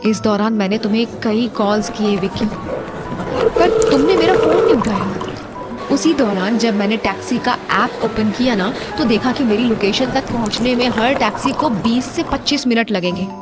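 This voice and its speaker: Actress as an earnest high-voiced young wife. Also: female voice, expressive, moderate pace, loud music behind the voice, lightly acted. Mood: earnest, recounting. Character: young wife earnestly explaining herself, tense and defensive. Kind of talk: audio story drama acting.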